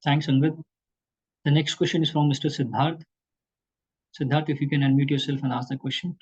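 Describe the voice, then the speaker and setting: A man's voice: a little high, fairly even intonation, a little fast, darkish tone, slightly rough. A man moderating a conference call, formally introducing questioners, with dull sound.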